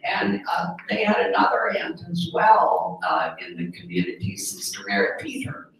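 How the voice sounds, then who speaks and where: A religious sister hosting a gathering, inviting guests to share stories in a chatty way with filler words. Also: female voice, fairly low pitch, big pitch swings, normal speed, creaky, in a whisper. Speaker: host, sister of the religious community. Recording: echoey room, mic far off.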